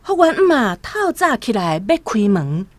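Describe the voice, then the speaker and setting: A Taiwanese woman narrating a literary radio drama in a storytelling style, over soft music. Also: medium pitch, very animated, moderate pace.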